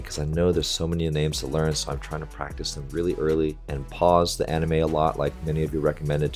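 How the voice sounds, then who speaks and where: A man's voice: extremely low pitch, a little mellow. A man hosting a reaction video, thinking aloud in casual commentary into a close desktop microphone. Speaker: reaction video host, volleyball coach. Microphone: close desktop USB microphone.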